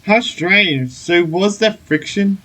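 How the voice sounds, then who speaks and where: A man giving casual, excitable commentary while playing through a video game story quest. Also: high-pitched, takes it slowly, poor audio. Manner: excitable, reacting aloud to the game. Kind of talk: casual let's-play commentary.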